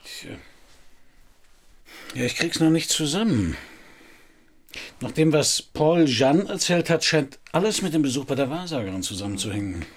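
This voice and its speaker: An actor playing a wry, world-weary private detective who narrates a crime radio play in a dry, laconic, gravelly voice. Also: male voice, a little high, animated, moderate pace, pauses often. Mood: musing.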